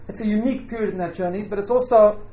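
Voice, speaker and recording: A woman giving an informal welcome speech as an organiser, joking and somewhat halting as she talks. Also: mid-range voice, warm tone. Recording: echoey room, faint background noise.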